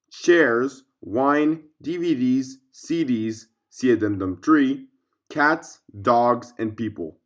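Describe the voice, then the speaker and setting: A man reading a scripted fictional case file aloud as narrator, in a formal, measured way. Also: mid-range voice.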